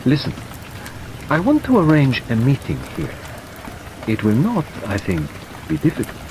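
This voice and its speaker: A man voicing an authoritative male detective who speaks in a measured, commanding way. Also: mid-range voice, vocal fry, pitched above the performer's own voice. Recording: steady rain noise behind.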